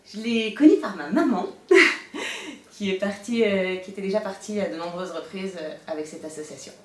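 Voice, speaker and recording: A woman's voice: mid-range voice, moderate pace. A woman in her late twenties speaking informally in a testimonial interview. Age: 20s. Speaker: volunteer, hotel management assistant. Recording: roomy, distant mic, faint noise.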